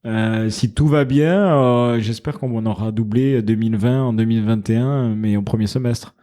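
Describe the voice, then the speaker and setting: Mellow-voiced man explaining his venture. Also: mid-range voice, moderate pace. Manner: earnest, explanatory, thinks aloud mid-sentence. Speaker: founder of a wine company, podcast guest. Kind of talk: casual interview chat.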